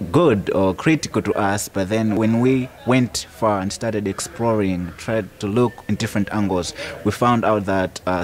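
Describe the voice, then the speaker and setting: Lower-voiced young Malawian man. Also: a little mellow. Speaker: youth program participant. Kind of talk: documentary interview.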